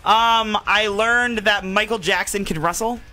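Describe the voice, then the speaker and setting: A woman's voice: medium pitch, fairly even intonation, a little slow, bright, forceful tone, soft background music. A woman co-hosting a podcast in casual chat, blunt and teasing with lots of giggles. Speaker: podcast co-host.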